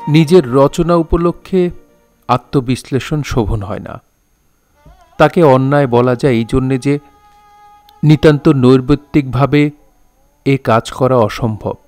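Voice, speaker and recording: Male actor as dignified poet-storyteller, formal literary diction, measured, mid-pitched, background music, audio drama. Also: a little mellow, dry recording, natural voice. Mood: reflective, formal. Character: dignified storytelling landlord-poet, gently scolding his village listeners.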